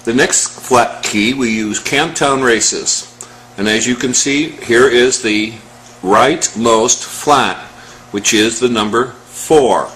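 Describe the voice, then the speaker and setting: A man teaches music in a measured, instructional way. His voice sits at a middling pitch and he speaks extremely slowly, spelling out each step. There is faint noise behind him. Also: a bit bright, poor audio. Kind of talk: step-by-step music lesson, calling out scale numbers.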